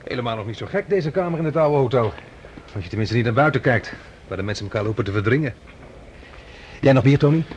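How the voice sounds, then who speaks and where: An actor playing an amiable, inquisitive academic delegate in conversational radio play dialogue. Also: male voice, medium pitch, lively intonation, quick pace, muffled recording, a little hiss or hum, close to an everyday voice. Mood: relaxed, curious.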